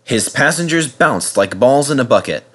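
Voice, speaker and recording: A man narrating a children's storybook in an expressive, dramatic way. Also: mid-range voice, moderate pace, husky. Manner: animated, dramatic reading. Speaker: narrator reading a children's book.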